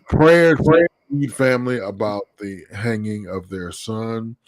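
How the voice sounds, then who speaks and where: Blunt low-voiced talk show host. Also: male voice, unhurried, rough recording. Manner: blunt, emphatic, swears. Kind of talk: live-stream talk show.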